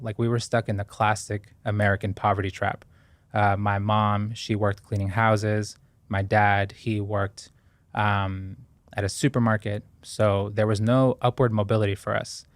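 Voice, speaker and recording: Man, low voice, earnest and reflective, dry close sound. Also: little pitch movement, normal speed, no echo. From Ecuador. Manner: earnest, thoughtful, with frequent fillers and restarts. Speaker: guest. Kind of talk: reflective interview talk.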